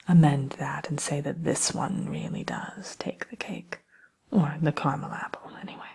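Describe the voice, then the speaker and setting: A woman playing a sly, genteel evil queen shopkeeper in a soft, breathy, often whispered ASMR role play. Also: deep voice, heavily acted. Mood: gloating, confiding. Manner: unhurried, genteel, slyly menacing.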